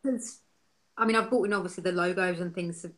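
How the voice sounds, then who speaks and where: A woman's voice: on the low side, quick pace, a bit bright. A woman from the UK, a designer, talking frankly in a casual interview, full of fillers and restarts. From United Kingdom. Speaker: designer interviewed as software user.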